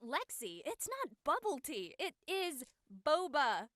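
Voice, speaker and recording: Bright, high-voiced carefree teen girl played by a woman. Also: huge pitch swings, slow pace, dry recording, studio quality, heavily acted. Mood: playful. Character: carefree, feisty high school girl studying with friends. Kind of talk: online dramatic table read.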